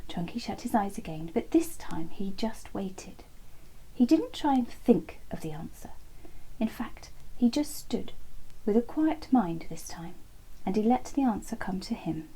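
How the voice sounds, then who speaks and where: A woman's voice: medium pitch, big pitch swings, a little slow, warm tone, breathy edge, poor audio. Lady reading a bedtime story, half whispering, bouncy melody.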